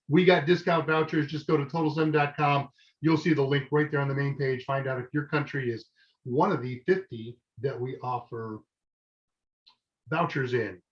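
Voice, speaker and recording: A man hosting a live tech Q&A stream, chatty and enthusiastic as he shows off gadgets and welcomes guests. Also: a little high. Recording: dull, lo-fi stream audio.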